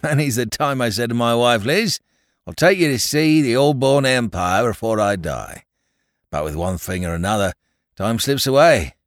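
A man's voice: medium pitch, animated, moderate pace, dry recording, studio quality, close to an everyday voice. A man playing a chatty Cockney landlord. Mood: eager. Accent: London Cockney. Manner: chatty, eager, dropped grammar. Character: cheery Cockney male landlord, about fifty-five.

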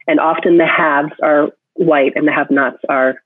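A woman's voice: sits low, fairly even intonation, normal speed, no room sound. Woman musing aloud over a tinny phone line. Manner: thinks aloud with frequent restarts and "like". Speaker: photographer being interviewed. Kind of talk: reflective interview talk.

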